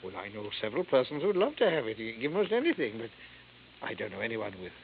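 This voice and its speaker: An actor playing a fussy, nervous middle-aged art dealer who talks in a flustered, prim way, in a 1950s radio drama. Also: male voice, mid-range voice, sing-song, quick pace, vocal fry. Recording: tinny lo-fi old radio broadcast with faint hiss.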